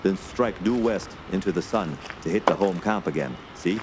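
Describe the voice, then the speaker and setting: An actor playing a hearty, proud French-Canadian backwoods guide in a radio play, with folksy, colloquial speech. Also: male voice, sits low, normal speed, close to an everyday voice. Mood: reassuring, steady. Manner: colloquial, folksy, ends remarks with "eh". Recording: clear background noise under voice.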